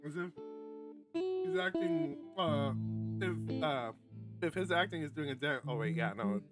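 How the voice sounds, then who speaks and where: Higher-voiced man narrating over loud guitar music. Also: a little slow. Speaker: streamer reading a visual novel aloud. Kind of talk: expressive narration of a visual novel, with casual asides. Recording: prominent guitar music behind voice. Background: loud plucked guitar game music.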